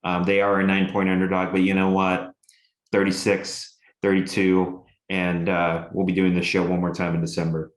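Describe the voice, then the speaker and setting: A man's voice: low voice, monotone, normal speed, echoey room. A young man co-hosting a college football preview show, chatting casually with many fillers and restarts. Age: young adult. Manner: rambling with many fillers, enthusiastic. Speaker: sports show co-host and radio broadcaster. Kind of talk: casual sports chat.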